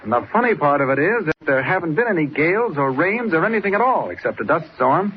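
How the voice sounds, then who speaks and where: An actor playing a sharp, decisive, brave reporter hero in a 1940s radio serial, brisk and commanding. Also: male voice, on the high side, big pitch swings. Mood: suspicious, intent. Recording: dull old radio recording, faint noise.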